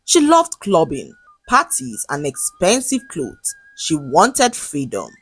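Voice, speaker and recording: A woman's voice: lowish voice, wildly swooping pitch, takes it slowly, fairly bright tone, breathy edge, soft background music. A woman narrating a scripted folktale as a dramatic storyteller.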